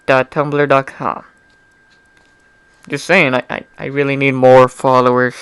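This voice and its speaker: Clear-voiced man reading fan fiction aloud. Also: mid-range voice, fairly bright tone, dry recording. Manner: informal, stumbles over words and corrects himself. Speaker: amateur fan fiction reader. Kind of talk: dramatic story reading with casual asides.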